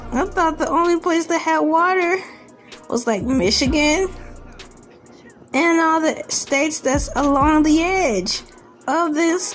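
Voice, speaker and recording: A woman hosting a casual, comedic commentary on a news article, with incredulous, joking and rambling asides. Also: high voice. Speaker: video host. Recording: music bed under the voice. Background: background music.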